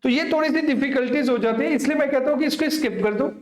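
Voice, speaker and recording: A man's voice: very high-pitched, fast talker. A man teaching an exam coaching class, instructing students familiarly and often answering his own questions. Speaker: maths teacher. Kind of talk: exam coaching lesson, working through a problem. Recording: echoey room.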